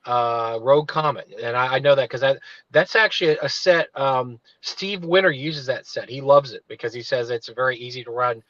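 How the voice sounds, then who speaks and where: A man's voice: mid-range voice, normal speed, a bit bright, no room sound, muffled recording. A man co-hosting a casual livestream chat from inside his parked car. He rambles and digresses, restarting his sentences often. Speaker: livestream co-host.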